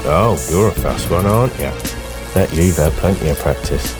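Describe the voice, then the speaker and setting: A man's voice: low voice, lively intonation, moderate pace, over loud music. A man playing a stern, sinister police officer in an audio drama, officious and condescending. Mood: sinister gloating.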